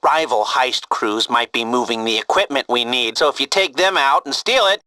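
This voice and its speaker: An actor playing an upbeat, wry heist handler in a video game, guiding missions in an informal, chatty way with hesitant asides. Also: male voice, mid-range voice, expressive, moderate pace, bright, forceful tone, no room sound. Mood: wry, exasperated.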